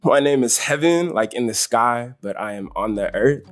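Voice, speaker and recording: A man's voice: medium pitch, expressive, normal speed. Earnest young man from Zimbabwe. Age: 20s. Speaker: contestant. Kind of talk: casual chat.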